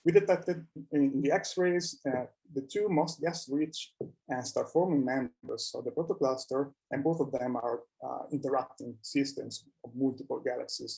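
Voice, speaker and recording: A man presenting research at a scientific conference, speaking haltingly and often repeating words. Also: medium pitch, creaky, dark tone, poor audio.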